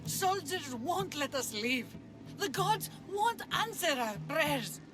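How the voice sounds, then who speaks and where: A woman's voice: sits high, big pitch swings, takes it slowly, exaggerated voice. An actress playing a desperate village woman begging for mercy in a video game cutscene, over music. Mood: desperate pleading. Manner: pleading.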